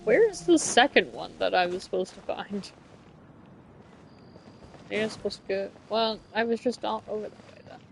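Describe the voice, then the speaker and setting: Female game streamer, gravelly vocal fry, casual think-aloud commentary, soft game music underneath. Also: mid-range voice, animated, moderate pace, pauses often, low-quality recording. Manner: musing aloud to herself. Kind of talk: casual live gameplay commentary. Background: faint game music.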